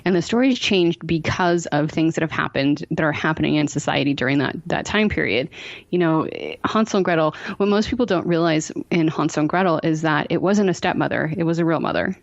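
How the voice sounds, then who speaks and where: Low-pitched, effusive woman chatting. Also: a little fast, vocal fry, dry recording, lo-fi sound. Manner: effusive, emphatic, repeats herself for emphasis. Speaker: podcast guest, horror fiction podcaster. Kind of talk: casual podcast chat.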